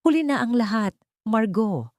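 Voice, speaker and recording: A woman voicing a gentle, compassionate housemaid heroine in an audio drama, soft, calm and pleading. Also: on the high side, normal speed, dry recording, studio quality, pitched above the performer's own voice. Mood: pitying. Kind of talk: Tagalog audio drama acting.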